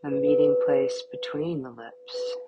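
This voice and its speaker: A woman guiding a yoga nidra relaxation in a calm, soothing manner, with short, spaced phrases. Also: low voice, measured pace, lo-fi sound. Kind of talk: guided relaxation meditation.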